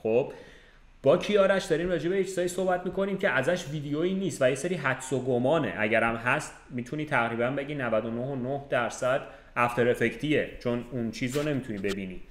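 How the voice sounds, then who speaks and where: A man hosts a podcast as a relaxed chat between friends. His voice sits at an average pitch, and the room around him rings with clear echo. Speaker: podcast host. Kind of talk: casual chat. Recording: echoey, roomy sound.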